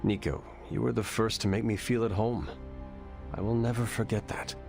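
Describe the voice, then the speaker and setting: An actor playing a calm, formal young male hero who speaks in measured tones. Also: lowish voice, gravelly, faint music behind. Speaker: player character hero. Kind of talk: video game cutscene.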